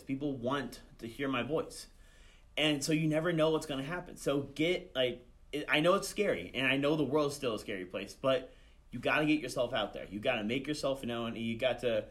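Halting young man chatting in an interview. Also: medium pitch, a bit bright. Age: young adult. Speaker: student director and voice actor, interview guest. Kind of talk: casual interview talk.

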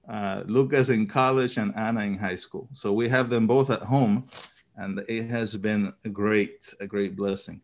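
A man in his fifties, a seminary professor raised in Chile and Panama, who speaks English. He gives an earnest reflection to a church gathering and pauses often on filler sounds. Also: mid-range voice. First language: Spanish.

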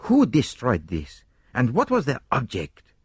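An excitable detective. His voice leaps well above the reader's own and swoops theatrically. He is self-assured and fussy, full of formal, exclamatory turns in audiobook style. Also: mid-range voice, moderate pace, slightly rough, dry recording, clean studio sound, voice pushed up. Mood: indignant, puzzled.

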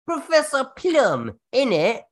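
A man's voice: very high voice, wildly swooping pitch, slow pace, faint music behind, voice pushed up, heavily acted. A man voicing a cheeky, challenging young ruffian in a spooky radio drama. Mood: cocky, teasing. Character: cocky mysterious young ruffian in a cloak.

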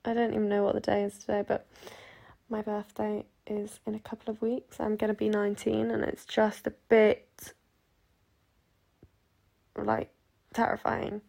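A woman's voice: mid-range voice, monotone, moderate pace, gravelly, pauses often, dark tone. An eighteen-year-old woman from Sheffield, hosting a podcast in a rambling, chatty way that is full of asides. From Sheffield, England. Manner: chatty, self-interrupting, giggly asides. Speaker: podcast host. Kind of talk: rambling casual chat.

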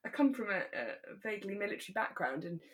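A British woman chatting reflectively as a podcast co-host, in a relaxed conversation. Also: medium pitch, fast talker. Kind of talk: relaxed conversational discussion of a radio drama.

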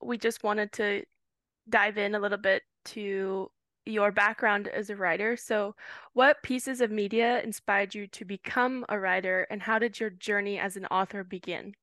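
A woman's voice: mid-range voice, steady tone, normal speed, fairly bright tone, no room sound, studio quality. A woman hosting a podcast, introducing and interviewing a guest in a friendly way. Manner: friendly, laughs often. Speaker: podcast host.

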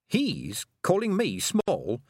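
An earnest, anxious little would-be witch voiced by a male narrator in a storybook reading. The pitch is lifted and moves about freely, and the sound is dry and close. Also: wildly swooping pitch, slow, slightly rough, no room sound, heavily acted. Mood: questioning. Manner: pleading, questioning. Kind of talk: audiobook character voice.

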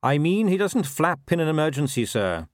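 Man acting an earnest, higher-pitched young police captain. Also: very animated, dry recording, clean studio sound. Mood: earnest, resolute. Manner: brisk, courteous, formal. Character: earnest, upright young male police captain, dutiful and polite.

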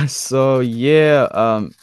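A man's voice: mid-range voice, extremely slow, a little hiss or hum. A young man from Switzerland, a guest artist, chatting casually in a laid-back way and dropping "bro" into nearly every sentence. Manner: laid-back slang, says "bro" often. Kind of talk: casual interview chat.

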